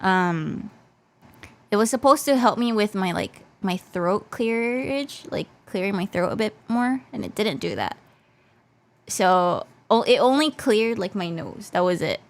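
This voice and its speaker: A woman's voice: mid-range voice, lively intonation, unhurried. A woman livestreamer chatting casually in a playful, hesitant, repetitive way, with a stuffed nose and a voice that is slightly giving out. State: slightly losing her voice. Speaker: VTuber livestreamer. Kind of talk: casual livestream chat in English mixed with Japanese.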